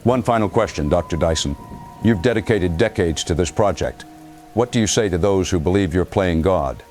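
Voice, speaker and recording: A man's voice: low-pitched, animated, normal speed, darkish tone, soft background music. A man playing a composed news anchor in an audio drama, putting probing questions in a formal broadcast manner. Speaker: news anchor interviewing a guest.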